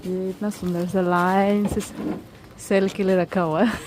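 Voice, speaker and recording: Woman just chatting, takes it real slow, average pitch. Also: level tone, a little mellow. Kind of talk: casual chat.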